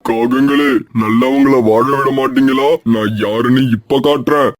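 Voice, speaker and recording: A man's voice: mid-range voice, fairly even intonation, moderate pace, natural voice. A man voicing an old magic neem tree in a cartoon, stern and solemn. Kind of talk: animated cartoon voice acting.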